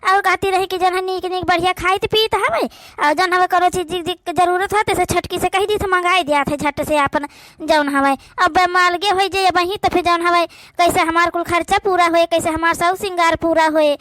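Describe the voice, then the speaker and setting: A woman's voice: very high-pitched, steady tone, normal speed, fairly bright tone, dry recording. A woman with an Awadhi accent voicing a meddling, scheming young sister-in-law in a village cartoon, gossipy and insistent.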